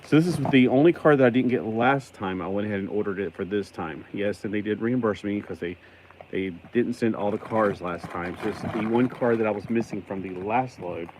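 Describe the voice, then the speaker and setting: A man's voice: on the low side, fairly quick, darkish tone, slight background noise, poor audio. An American man chatting casually through an unboxing vlog, rambling and playful, as if half talking to himself. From United States. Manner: rambling, playful, talks to himself, acts out imagined replies. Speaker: toy collector unboxing mail on a vlog.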